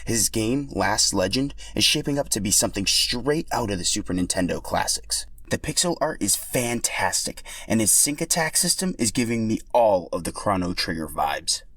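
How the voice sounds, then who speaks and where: A man's voice: fairly low pitch, big pitch swings, moderate pace, hardly any pauses, fairly bright tone, no echo. A man hosting a podcast and reading scripted narration as a storyteller.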